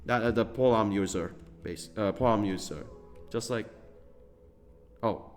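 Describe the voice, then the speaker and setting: A man's voice: on the low side, a little slow, soft background music. A man giving casual gameplay commentary as a streamer, rambling with many fillers and chuckles. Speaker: gaming streamer.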